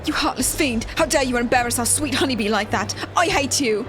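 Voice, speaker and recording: A woman's voice: on the high side, fairly quick, over music, natural voice. A woman voicing an indignant, melodramatic devoted fan in a video game. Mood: outraged. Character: outraged devoted fan, shrill and dramatic.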